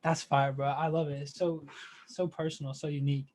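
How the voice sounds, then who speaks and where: Higher-pitched man interviewing casually. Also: level tone, brisk, a little mellow. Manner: informal, slangy.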